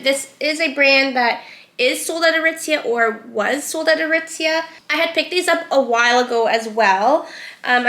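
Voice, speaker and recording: A woman's voice: sits high, pushed and bright. A young woman vlogger getting over a cold, chatting casually about items she has sold. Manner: chatty, with frequent "um" and "like". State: recovering from a cold. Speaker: reseller vlogger. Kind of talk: casual vlog narration.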